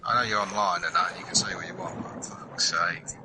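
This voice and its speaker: A man in his forties spins his stories in an animated way and swears freely. His voice sits low but swings widely in pitch. Clear background noise hangs over the whole recording. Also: a little fast. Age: 40s. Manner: animated storyteller, swearing, muttering asides. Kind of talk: casual chat while gaming.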